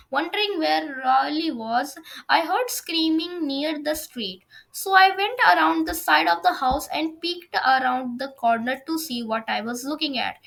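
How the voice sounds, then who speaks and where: High, creaky female voice reading aloud. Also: a bit bright, poor audio. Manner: stumbles over words while reading. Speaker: fan fiction reader on own video channel. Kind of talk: amateur read-aloud of a first-person story.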